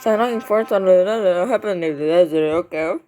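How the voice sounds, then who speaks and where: A woman giving casual, rambling playthrough commentary, excitable and often repeating her words. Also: on the low side, fairly quick. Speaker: gaming video creator. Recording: music behind the voice. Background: clear game music.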